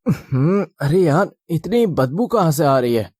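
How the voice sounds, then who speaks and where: A man voicing the men of a cartoon family tale, in an expressive, exclamatory way. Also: on the high side, a little slow, husky, dry recording, clean studio sound, full character voice. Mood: indignant. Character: men of a family tale: father, groom, priest. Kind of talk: animated moral-story dialogue.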